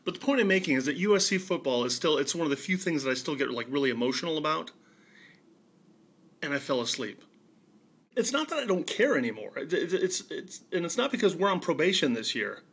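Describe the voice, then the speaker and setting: American guy in his 50s, pitch jumps all over, wry emphatic video blog rambling, kinda higher voice. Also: big pitch swings, brisk, fairly bright tone, slightly rough. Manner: wry, emphatic, rhetorical questions. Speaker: video blogger. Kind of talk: conversational personal monologue.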